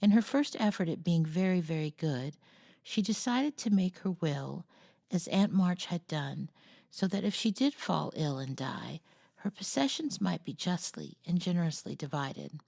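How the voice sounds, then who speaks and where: A woman reading a classic novel aloud as expressive storybook narration. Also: on the low side, unhurried, gravelly, dry recording, clean studio sound. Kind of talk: expressive scripted audiobook narration.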